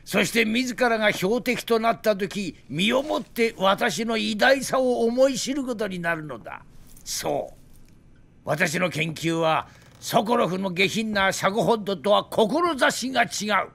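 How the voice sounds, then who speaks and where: A man's voice: sits high, lively intonation, measured pace, vocal fry, fairly bright tone, heavily acted. An actor playing a drunk, bitter old Soviet weapons designer in a video game, boastful and theatrical in his indignant ranting. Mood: grandiose. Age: older. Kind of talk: dramatic video game cutscene acting.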